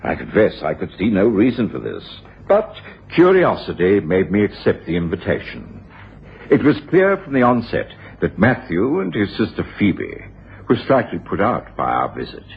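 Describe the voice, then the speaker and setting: A gentleman storyteller recounting his friend's cases. The voice is low and very husky, measured and reflective, heard through a tinny old radio broadcast. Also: huge pitch swings, heavily acted. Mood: reflective, puzzled. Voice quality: gravelly, husky. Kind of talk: 1980s radio drama narration.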